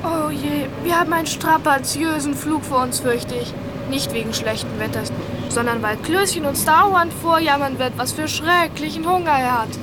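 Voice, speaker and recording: A woman acts a young member of a detective gang in a radio play. The voice is high, with a dry, mock-lamenting tease, set against clear street and traffic noise. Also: moderate pace, lightly acted. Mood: mock dismay. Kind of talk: German youth audio drama acting.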